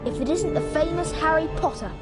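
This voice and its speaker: A woman's voice: high-pitched, brisk, loud music behind the voice, lightly acted. A woman voicing a smug schoolboy wizard bully in a video game, haughty and sneering.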